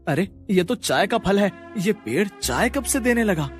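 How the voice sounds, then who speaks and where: Guy playing an excitable cheery village tea seller, highish lively voice, music behind. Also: normal speed. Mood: amazed.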